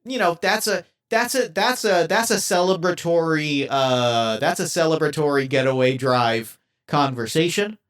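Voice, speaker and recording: A man's voice: a little high, fairly bright tone, close to an everyday voice. A man voicing an earnest male assassin robot in a tabletop game, speaking formally and carefully with a lot of hesitation. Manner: formal, careful, halting speech.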